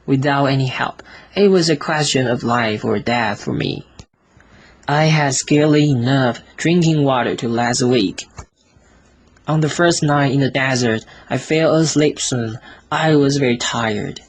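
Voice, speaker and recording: A man reads a storybook as scripted audiobook narration, in short, careful, deliberate phrases. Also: mid-range voice, breathy edge. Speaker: audiobook reader.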